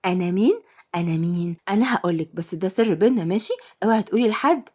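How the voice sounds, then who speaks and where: Woman acting as young nanny, fast speech, sweet, coaxing, tender, polite, audio story. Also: medium pitch, slightly rough. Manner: tender, expressive.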